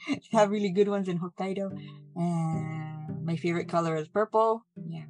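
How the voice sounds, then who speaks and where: A woman's voice: lowish voice, moderate pace, music in the background. A woman in her forties from Hawaii with a slight Japanese accent, chatting easily and laughing as she introduces herself on a podcast. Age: 40s. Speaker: nurse and part-time minister, co-host. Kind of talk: casual podcast chat.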